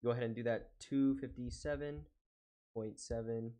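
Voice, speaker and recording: A man working through a math word problem step by step on a whiteboard, explaining calmly with frequent "uh" fillers. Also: medium pitch, normal speed. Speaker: math tutor. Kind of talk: whiteboard lesson walkthrough.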